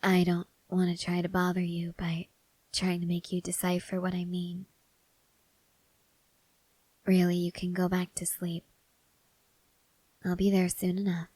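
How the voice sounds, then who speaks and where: A woman playing a stoic, devoted young woman soldier in an intimate ASMR roleplay, speaking softly and hesitantly, often half-whispering. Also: fairly low pitch, monotone, unhurried, long pauses, breathy edge, no room sound, poor audio. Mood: hushed, reluctant. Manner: hushed, hesitant, gently reserved.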